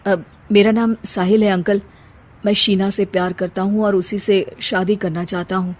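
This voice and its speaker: A woman voicing a hesitant young man in love, speaking quietly and lower than her own voice. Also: little pitch movement, normal speed, close to an everyday voice. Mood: timid.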